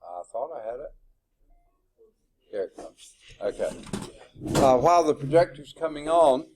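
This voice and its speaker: A man with a loud voice coaching a math team in a classroom, relaxed and encouraging. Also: medium pitch, a little slow, slight background noise. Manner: relaxed, encouraging, many short agreements. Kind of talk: casual classroom talk.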